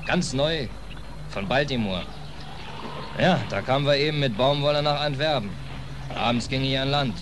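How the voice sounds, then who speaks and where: A man's voice: mid-range voice, natural voice. An actor playing a naive, rueful sailor in a 1940s radio play, telling his story in a colloquial, hesitant, musing way. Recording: noisy old 1940s radio recording.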